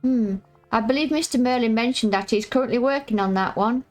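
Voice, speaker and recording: A woman reading the lines of a prim, polite young girl in a video game, with a croaky voice and formal phrasing. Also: fairly high pitch, fairly quick, lightly acted.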